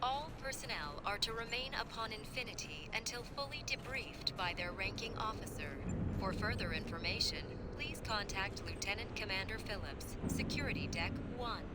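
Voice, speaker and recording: A woman's voice: medium pitch, expressive, normal speed, lightly acted. A woman playing a polite announcer aboard a military starship in a video game, giving formal public-address notices. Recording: very noisy in-game ship announcement.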